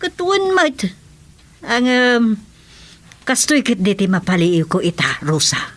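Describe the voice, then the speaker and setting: A woman's voice: a little high, very animated, slow. Woman acting a gossipy Ilocano village mother. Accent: Ilocano Filipino. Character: gossipy middle-aged village mother missing her husband. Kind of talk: Ilocano radio comedy drama acting.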